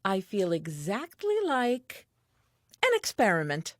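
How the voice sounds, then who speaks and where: A woman's voice: medium pitch, wildly swooping pitch, takes it slowly, no room sound, studio quality. An actress playing a curious, earnest, newly created first woman, reading her diary aloud with naive, precise self-assurance in an audio play. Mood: wondering.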